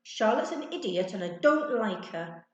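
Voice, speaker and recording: A woman's voice: mid-range voice, lively intonation, a little slow, highs cut off, pitched above the performer's own voice, close to an everyday voice. A woman voicing a young schoolboy, exasperated, pleading and bossy, in a children's storybook reading. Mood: cross. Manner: emphatic, insistent delivery. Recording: roomy, echoey sound.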